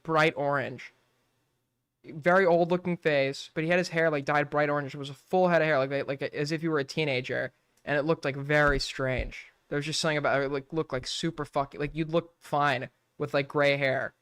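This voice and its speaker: A man's voice: fairly high pitch, a bit bright, smooth, no echo, clean studio sound. A young man in his twenties chatting casually on a late-night stream, rambling and swearing, filling his talk with "like". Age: 20s. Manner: rambling, swears a lot, fills with "like".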